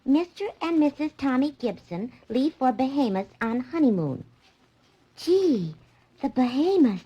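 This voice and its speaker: High, husky, scatterbrained American secretary. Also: female voice, big pitch swings, measured pace, muffled recording, full character voice. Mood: naive, dreamy. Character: naive, scatterbrained, eager young female secretary. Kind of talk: 1940s radio comic-relief acting.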